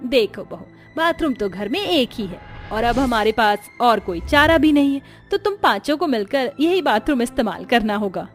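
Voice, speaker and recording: A woman's voice: a little high, lively intonation, moderate pace, few pauses, soft background music, lightly acted. Woman acting a firm older mother-in-law. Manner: matter-of-fact, instructing. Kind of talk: cartoon story dialogue.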